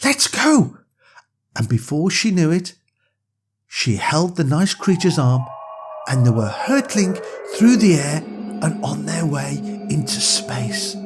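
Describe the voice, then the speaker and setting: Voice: mid-range voice, animated, takes it slowly, music in the background. A storyteller narrating a scripted bedtime story for young children.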